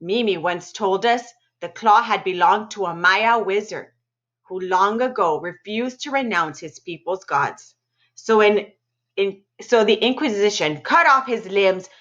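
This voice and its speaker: A woman teacher reading aloud and chatting to her middle school students, with a playful, expressive delivery. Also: medium pitch. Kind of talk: expressive read-aloud storytelling with casual asides to students. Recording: dull, muffled lo-fi audio.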